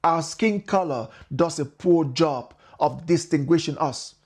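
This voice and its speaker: Man reading a measured monologue. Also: fairly high pitch, darkish tone, slightly rough. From Nigeria. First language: Igbo. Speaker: video narrator. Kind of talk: scripted monologue narration.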